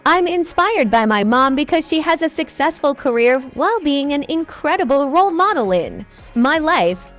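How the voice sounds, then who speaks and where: A woman's voice: high-pitched, very animated, moderate pace, fairly bright tone, faint music behind. A woman answering pageant questions in a scripted, upbeat way. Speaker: pageant contestant.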